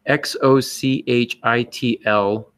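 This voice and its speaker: A man's voice: mid-range voice, steady tone, takes it slowly, a bit bright, smooth. A man hosting a podcast with casual, joking chat, enthusiastic and somewhat rambling. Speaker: podcast host.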